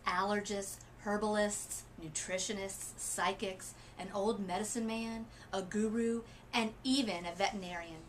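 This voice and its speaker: Woman, expressive storybook narration, medium pitch, deliberate dramatic phrasing, noisy room. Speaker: literacy coach reading a picture book aloud. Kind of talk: expressive children's story read-aloud.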